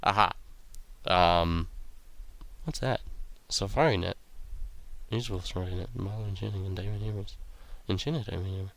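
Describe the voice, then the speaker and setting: A young man hosting a game playthrough, talking casually with a raspy, sick-sounding voice and rambling with lots of ums and uhs. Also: deep voice, moderate pace, fairly bright tone. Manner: rambling, many ums and uhs, reads quest text aloud. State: raspy voice from illness. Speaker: let's play host.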